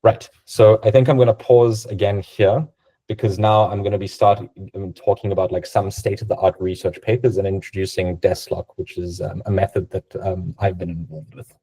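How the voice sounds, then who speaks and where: A man's voice: sits low, flat delivery, moderate pace, mellow, breathy edge, poor audio. A man whose first language is Serbian, giving a conversational technical talk over a video call, with many fillers and tag questions. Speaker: guest speaker.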